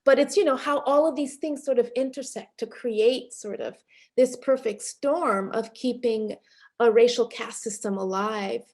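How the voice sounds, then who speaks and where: A middle-aged woman with a fairly high voice talks through her ideas slowly and carefully. She circles back, stacks fillers and restarts as she searches for words, and lets a small laugh slip in now and then. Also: a little mellow. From Panamanian and Haitian family background. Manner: thoughtful, many fillers and restarts, occasional laughs. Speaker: film director being interviewed. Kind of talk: reflective interview answers.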